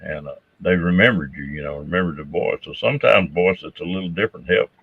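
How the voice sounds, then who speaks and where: A man with a Southern drawl, hosting a casual chat in a folksy, unhurried way. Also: low voice, creaky, breathy edge, no room sound. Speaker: host. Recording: thin phone-like line, dry.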